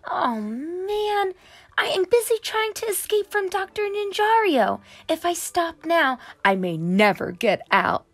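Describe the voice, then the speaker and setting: A woman's voice: extremely high pitch, big pitch swings, measured pace, voice pushed up, full character voice. A woman voicing an eager, brave young boy superhero in training, earnest and dramatic, in a storybook. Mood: dramatic, urgent. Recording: music under the voice.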